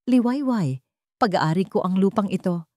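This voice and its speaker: A woman's voice: mid-range voice, animated, normal speed, dark tone, no echo, clean studio sound, natural voice. A woman playing an earnest, hurt man who speaks firmly in a story drama. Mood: hurt, resolute. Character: earnest, hurt landowner man pleading his innocence.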